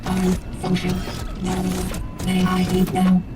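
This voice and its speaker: Self-aware robot, pleading to escape, extremely flat pitch, stilted phrases, roomy echo over loud music. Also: female voice, takes it slowly. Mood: stilted, asking. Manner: repetitive, short stilted phrases. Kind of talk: analog horror animation voice acting.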